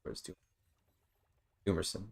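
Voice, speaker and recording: A man giving casual live gameplay commentary, rambling and repeating words as he thinks aloud. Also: measured pace. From Canada. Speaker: game streamer.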